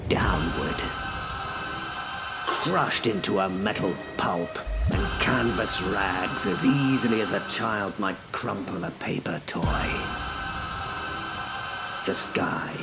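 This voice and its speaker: A man's voice: deep voice, slow, vocal fry, long pauses, over loud music, full character voice. A man voicing a spent, whispering abyss-holder. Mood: grim. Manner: grim, hushed, often whispering. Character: exhausted man who held the abyss open. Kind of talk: dark horror audio drama narration.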